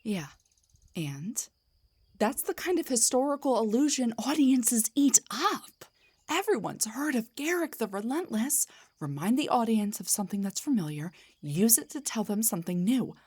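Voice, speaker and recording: A woman acts out a half-elf tavern bard in a fantasy audio roleplay. She is indignant, defensive and theatrical, with a high, breathy voice that swings widely in pitch. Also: very animated, normal speed, a little mellow. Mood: scornful, incredulous. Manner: theatrical, sarcastic, emphatic, stammers when rattled. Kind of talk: scripted roleplay monologue, one side of a conversation.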